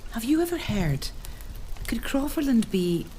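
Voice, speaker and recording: A woman's voice: on the high side, sing-song, unhurried, warm tone, slight background noise. A woman playing a young pregnant noblewoman in a period audio drama, earnest and wry. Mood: hesitant.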